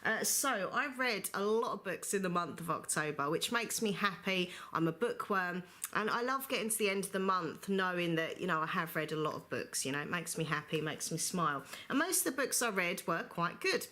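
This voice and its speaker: Chatty woman with a bright tone. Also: on the low side, animated, a little fast, few pauses. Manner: chatty, rambling, many fillers like "you know". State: recovering from flu, slight cough. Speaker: book vlogger. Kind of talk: casual book review monologue.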